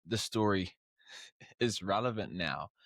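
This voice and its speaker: Halting low-voiced young man host. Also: expressive, gravelly, fairly bright tone, dry recording. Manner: halting, many restarts and fillers. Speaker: podcast host. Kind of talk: casual interview.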